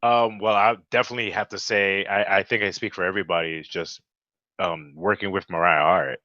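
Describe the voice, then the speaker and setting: A man's voice: low voice, a little fast, no room sound, lo-fi sound. A man on a cast panel over a video call, chatting casually with a teasing, joking manner. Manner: teasing, animated banter. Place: indoors on a group video call.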